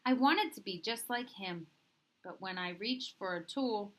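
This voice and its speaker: A woman reading a picture book aloud as a storyteller, with expressive, deliberate phrasing. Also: mid-range voice. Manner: dramatic, deliberate phrasing with acted dialogue. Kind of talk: expressive children's read-aloud narration.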